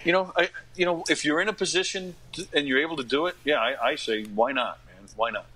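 A man's voice: fairly high pitch, quick pace, pushed and bright, breathy edge, poor audio. A middle-aged man talking casually as an interview guest, earnest and emphatic, often repeating his phrases. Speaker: podcast guest, actor and comedy radio host.

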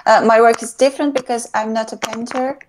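A woman's voice: on the high side, fairly even intonation, brisk, slight background noise, poor audio. A woman in her thirties, a musician on a video call panel, talking hesitantly and searching for words. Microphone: earphones with mic on a video call.

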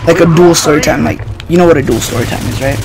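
Higher-voiced young man rambling over gameplay. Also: fast, creaky, crowd noise. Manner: rambling, swearing, slangy. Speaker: gaming video host. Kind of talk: casual profane story time over gameplay. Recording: loud music and gunfire behind. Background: music and shooter game gunfire.

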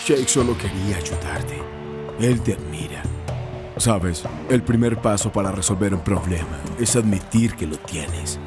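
Deep, laid-back team captain played by a man. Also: measured pace, over music, close to an everyday voice. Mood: reassuring. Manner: laid-back, reassuring, brotherly. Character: calm, supportive male football captain. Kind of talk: animated cartoon dub.

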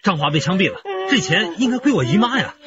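An actor playing a hot-headed, aggrieved young relative of a victim, insistent and indignant, in a radio crime drama. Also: male voice, sits high, big pitch swings, fast. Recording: dull sound, loud crowd behind.

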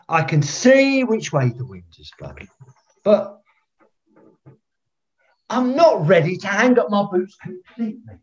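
Pompous older referee, swooping delivery. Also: male voice, very high voice, heavily acted. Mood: wounded, indignant. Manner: self-important, theatrical, deliberate. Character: pompous, eccentric veteran football referee, older man. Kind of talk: filmed comedy acting. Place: room at home, on a video call. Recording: dull lo-fi video call sound.

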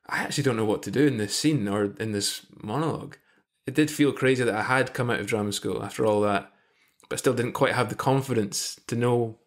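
A man in his thirties with a middle-pitched voice hosts a podcast. He speaks in a casual, conversational way over a video call from an indoor room. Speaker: podcast host. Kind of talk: casual interview chat. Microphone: wired earphones on video call.

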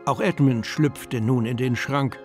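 A man's voice: mid-range voice, a little slow, close to an everyday voice. A man narrating a German children's radio play in a scripted storybook style. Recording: music behind the voice.

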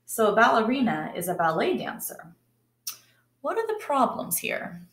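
A woman's voice: fairly high pitch, normal speed. A woman, reading a picture book aloud and putting questions to children in a teacherly way. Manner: expressive, teacherly. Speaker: read-aloud storyteller. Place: kitchen or dining area at home, indoors. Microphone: laptop or webcam at arm's length. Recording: roomy home webcam sound.

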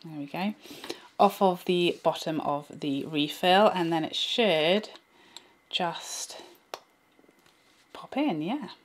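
A woman in her thirties, slightly hoarse from a cold, chatting directly to viewers as she reviews a product at home. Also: lowish voice, takes it slowly, long pauses, a little hiss or hum. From England. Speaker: beauty vlogger. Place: bright indoor room at home.

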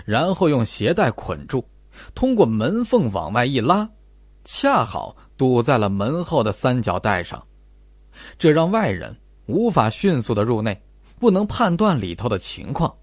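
A man's voice: mid-range voice, wildly swooping pitch, a little slow, no echo. A man reading a suspense novel aloud as a dramatic scripted narration. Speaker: audiobook narrator.

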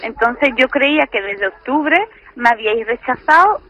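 A woman's voice: on the high side, normal speed, a bit bright. A woman of about thirty, arguing defensively and indignantly on a phone call. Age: 30s. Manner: indignant, argumentative.

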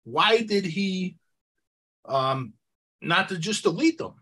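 A man's voice: high-pitched, animated, takes it slowly, bright, forceful tone, husky. A man co-hosting a podcast, talking through history in an insistent, questioning, conversational way. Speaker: podcast co-host.

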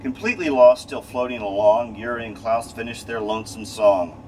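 A middle-aged man reading a rhyming children's storybook aloud in an expressive way, with the odd stumble. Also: medium pitch, moderate pace. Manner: expressive read-aloud delivery with stumbles and rereads. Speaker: story reader. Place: small cramped space station window module. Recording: distant mic, loud steady ventilation hum. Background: loud steady air-conditioning-like hum.

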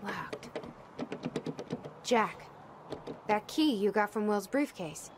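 A woman's voice: mid-range voice, expressive, takes it slowly, a little hiss or hum. A woman acting a tough, sardonic, no-nonsense operative in a cinematic video game, terse and dry. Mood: focused.

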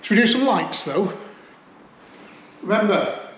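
A man's voice: high voice, lively intonation, measured pace, fairly bright tone, reverberant, slight background noise. A man chatting casually and joking as he checks over an old car in his garage workshop. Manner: chatty, jokey, thinking aloud. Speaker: car restoration vlogger.

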